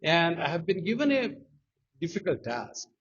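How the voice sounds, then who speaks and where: Nepali-accented monk, fairly high voice, slow emphatic delivery, muffled lo-fi recording. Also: male voice, very animated, a bit bright, a little hiss or hum. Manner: slow, emphatic, lifting pitch on key words. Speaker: monk giving a spiritual talk. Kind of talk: devotional talk with sung Sanskrit chant. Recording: dull lo-fi sound.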